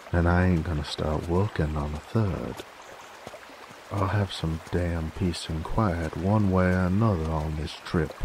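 A gruff werewolf bounty hunter for an audio drama. He drawls slowly in an American Southern accent, folksy and threatening, with a very low, gravelly voice. A stream trickles clearly behind him. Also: background noise. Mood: irritated, threatening. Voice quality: very deep, gravelly. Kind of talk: roleplay audio acting, drawling cowboy talk. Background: flowing water ambience.

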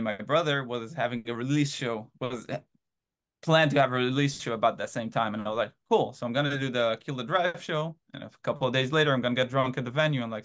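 A man in his thirties from Israel, telling his story in a casual interview over a video call from his home, rambling with lots of fillers. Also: medium pitch. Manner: rambling, animated anecdotes with many fillers. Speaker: band drummer, guest. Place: home room.

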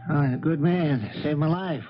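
Man playing a folksy old boat captain, high-pitched. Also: moderate pace, faint music behind, close to an everyday voice. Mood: indignant, bewildered. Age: older. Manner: folksy, old-fashioned exclamations. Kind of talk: 1970s radio drama acting. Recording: dull vintage radio recording.

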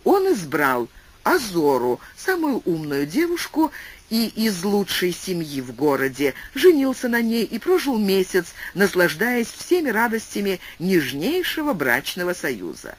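A male audiobook narrator reads a classic tale with an expressive, swooping delivery. His voice sits high for a man, and his pitch rises and falls in wide, animated arcs. He shifts his pace freely as he tells the story. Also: measured pace. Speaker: audiobook reader.

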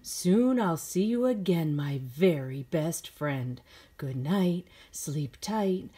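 A woman's voice: low voice, animated, slow, dark tone, slightly rough. A middle-aged woman reading a children's picture book aloud expressively, with simple phrasing for young listeners.

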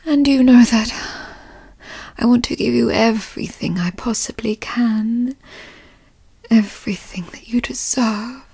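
A woman voicing a doting, possessive yandere girlfriend in a breathy whispered ASMR roleplay, coaxing and coyly controlling with formal wording. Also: fairly high pitch, measured pace, mellow, no echo, heavily acted. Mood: doting, soothing. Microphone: close microphone, dry sound.

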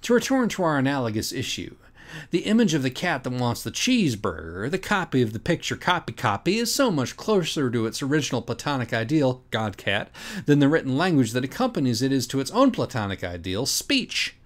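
A man's voice: medium pitch, sing-song, fairly quick. A man reading an audiobook as a dramatic rant, emphatic and sardonic in manner. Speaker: audiobook reader. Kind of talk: dramatic first-person reading of a mock-philosophical rant.

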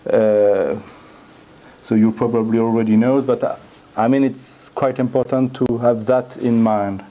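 Middle-aged male, French accent, unhurried academic lecture, hesitant and repetitive phrasing. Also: medium pitch, steady tone, mellow, poor audio. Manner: halting, repeats words while searching for phrasing. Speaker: guest lecturer. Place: university lecture room, indoors.

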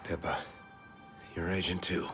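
An actor playing a gruff, weary American soldier hero in a video game, muttering in terse, brooding lines. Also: male voice, deep voice, little pitch movement, unhurried, music in the background. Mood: subdued. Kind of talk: video game cutscene acting.

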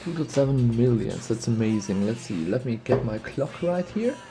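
A man's voice: medium pitch, animated, normal speed, music in the background. A man with a slight sniffly cold, casually commenting on a game he plays and thinking aloud as he goes. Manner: thinks aloud, muses and repeats himself. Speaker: let's play host.

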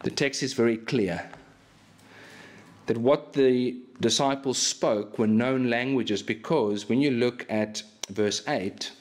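A man's voice: medium pitch, slow pace. A man preaches a sermon in a small church hall. His is Afrikaans, and he talks animatedly into a stand microphone. His thoughts tumble out with frequent restarts. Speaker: pastor. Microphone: stand mic in front of him.

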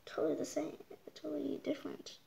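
A child reading a picture book aloud, halting and stumbling over words, with exclaimed side comments. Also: high voice, big pitch swings, vocal fry, dark tone, a little hiss or hum, poor audio. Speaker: storytime reader. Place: indoors, hands holding book before the camera.

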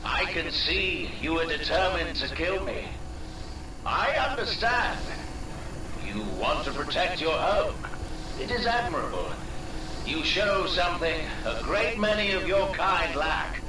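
An actor playing a solemn, proud enemy leader in a video game cutscene, giving a measured, deliberate speech to his foe. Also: fairly high pitch, expressive, background noise. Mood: solemn, resigned. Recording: very echoey, distant from the mic.

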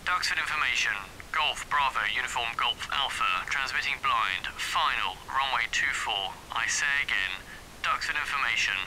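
Man acting as male pilot, aircraft radio, very bright forceful tone, clipped phraseology, calm, slight hiss. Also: medium pitch, moderate pace, poor audio. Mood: calm, businesslike. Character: male pilot making routine radio calls, calm and businesslike. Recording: hissy, harsh aircraft radio transmission.

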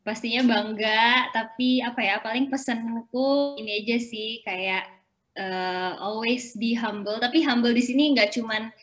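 A woman's voice: fairly high pitch, unhurried, poor audio. A young woman, a former teacher, chatting relaxedly over a video call and mixing in English phrases. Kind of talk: casual chat.

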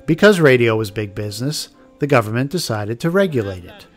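A man's voice: medium pitch, big pitch swings, brisk, faint music behind. A man reading scripted documentary narration as the narrator of a history show.

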